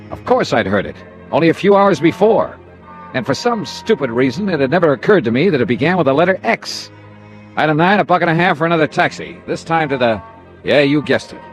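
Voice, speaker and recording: An actor playing a hard-boiled, dogged American insurance investigator in a 1950s radio detective drama. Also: male voice, medium pitch. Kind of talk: 1950s radio detective drama, first-person narration and dialogue.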